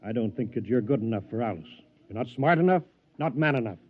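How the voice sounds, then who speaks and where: A man acting as an arrogant rich rival in a vintage radio play. His mid-pitched voice is haughty and emphatic, full of scorn, on a thin phone-like old recording. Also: expressive, fast, close to an everyday voice. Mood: taunting. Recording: tinny, narrow-band old radio recording.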